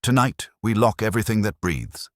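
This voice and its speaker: A man's voice: on the low side, expressive, normal speed, no echo, studio quality, voice pushed up, close to an everyday voice. A man voicing a big, imperious, pedantic detective in a mystery audiobook, with pompous, formal, commanding speech.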